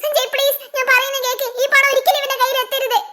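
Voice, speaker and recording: A woman's voice: extremely high pitch, level tone, fast, sharp tone. A woman voicing a defiant, distressed captive wife, urgent and imploring, in an amateur cartoon melodrama.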